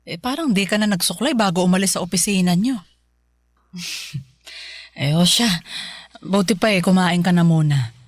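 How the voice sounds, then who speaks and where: A caring middle-aged Filipino mother in a radio drama, acted by a woman. Her voice sits a little low and is recorded dry. She talks in a homely, doting way, with the weariness of long work shifts. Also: normal speed, long pauses, no echo, natural voice. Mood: warm, teasing. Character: caring, tired middle-aged working mother of grown daughter.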